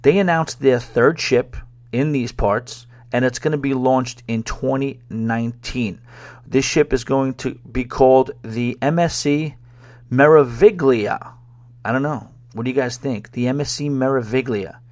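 A man's voice: medium pitch, a little slow, a little mellow. A man hosting a solo podcast, talking casually in a wry, sarcastic way with frequent restarts. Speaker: podcast host. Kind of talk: casual solo podcast talk about cruises.

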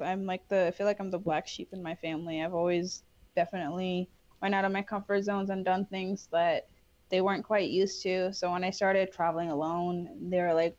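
A woman's voice: lowish voice, darkish tone. Young woman chatting away in an interview, lots of ums and restarts, kinda dull lo-fi audio. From Bangladesh, raised in New York City. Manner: chatty, frequent fillers and restarts. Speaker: interview guest, Peace Corps volunteer. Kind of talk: casual interview answers. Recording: dull lo-fi sound.

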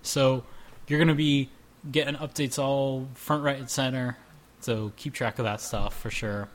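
A man's voice: mid-range voice, fairly even intonation, measured pace. A man in his twenties hosting a casual group chat, joking and rambling. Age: 20s. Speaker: podcast host.

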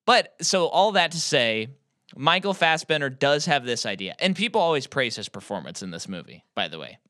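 A man's voice: mid-range voice, very animated, sharp tone, dry recording, studio quality. A man co-hosting a podcast, giving opinionated, joking movie takes in casual chat. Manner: opinionated, quick to joke about his own hot takes. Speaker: podcast co-host.

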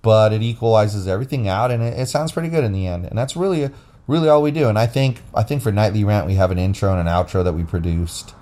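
A man's voice: on the low side, quick pace, mellow. A man co-hosting a casual, unscripted podcast, explaining things in a rambling way into a phone. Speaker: podcast co-host. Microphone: recorded into a phone app.

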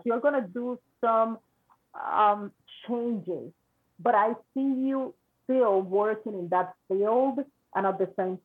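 Husky woman on a tinny phone line. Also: medium pitch. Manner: halting, repeats words, reassuring. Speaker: psychic reader. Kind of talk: spiritual reading.

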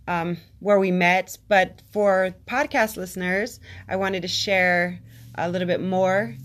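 An American woman hosts her own podcast in a chatty, upbeat tone. She is playful and laughs easily, at a middling pitch and an easy conversational pace. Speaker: podcast host. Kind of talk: casual podcast chat.

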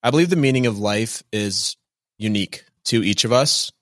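A man's voice: fairly low pitch, expressive, moderate pace, no room sound, studio quality. A man hosting a podcast, introducing his guest and asking follow-up questions in an enthusiastic, chatty way. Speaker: podcast host. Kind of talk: podcast interview.

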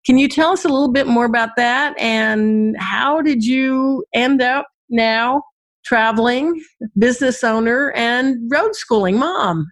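A woman hosting an interview show, introducing her guest and asking questions in a measured way. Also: on the high side. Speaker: talk show host.

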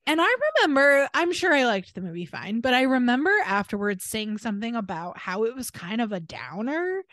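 A woman's voice: fairly high pitch, big pitch swings, a little fast, a bit bright, no room sound. An American woman co-hosting a podcast in casual chat, telling stories in a chatty, wry way. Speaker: podcast co-host.

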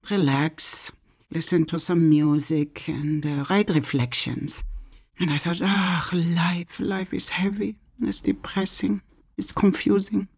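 A middle-aged woman from Germany speaks about her own life with earnest feeling. Her voice sits low and has a gravelly, frayed edge. At tender moments she sinks into soft whispers. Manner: earnest, drops to soft whispers when recounting inner moments. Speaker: self-published author. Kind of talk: personal testimony.

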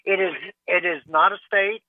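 A man's voice: high voice, steady tone, no echo. Hesitant man calling in over a tinny phone line. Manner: hesitant, halting with many ums. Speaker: caller. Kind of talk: phone-in call to talk radio. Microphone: telephone.

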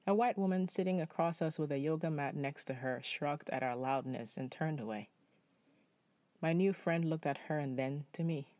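Quiet reflective man from Nepal. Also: on the high side, normal speed, no room sound. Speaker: storyteller. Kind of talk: spoken word personal essay.

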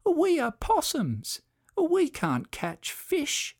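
Reader playing a small timid possum: very high, slow, soft plaintive whine. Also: wildly swooping pitch, full character voice.

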